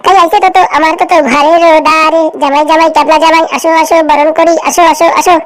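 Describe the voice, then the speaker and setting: A woman voicing a sharp-tongued older village mother-in-law in a comedy cartoon, with a Pabna Bengali accent and blunt, mocking delivery. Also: very high voice, steady tone, fast. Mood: mocking chant. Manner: sing-song mock blessings, blunt insults. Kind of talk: rural comedy cartoon skit.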